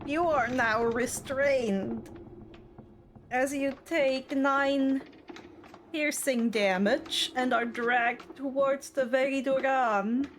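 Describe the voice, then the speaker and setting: High-pitched, unhurried woman game master. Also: a little hiss or hum. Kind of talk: running an online tabletop roleplaying game.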